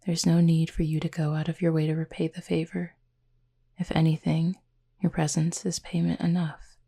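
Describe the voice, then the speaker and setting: A woman playing a gentle, formal cupid roommate in an intimate roleplay audio, speaking softly and breathily with measured, teasing politeness. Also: low-pitched, flat delivery, heavily acted. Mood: composed, fond. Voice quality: soft, breathy, slightly husky. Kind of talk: intimate roleplay audio acting, addressed to listener.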